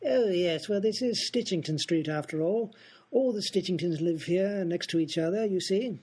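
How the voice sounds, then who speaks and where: A man's voice: high-pitched, normal speed, darkish tone, breathy edge. An actor in a radio comedy playing a haughty male aristocrat who speaks formally and stiffly. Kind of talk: comic radio play acting.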